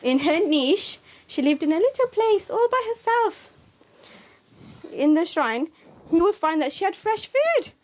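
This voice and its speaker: A woman, a madrasa teacher reading a story to young children in a lively, sing-song way. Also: very high-pitched, measured pace. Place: library classroom in a mosque.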